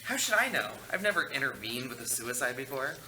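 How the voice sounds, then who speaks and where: A man's voice: medium pitch, sing-song, fairly quick, close to an everyday voice. A man playing a sassy, snide male ghost with a campy, sarcastic manner at a comedy table read. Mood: exasperated. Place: large carpeted meeting room. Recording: echoey meeting room, noticeable hiss.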